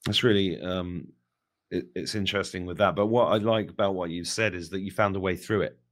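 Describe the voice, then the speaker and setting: A man with a fairly low voice acts as interviewer in a casual conversation. He phrases things hesitantly, searching his way toward each question. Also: fairly quick.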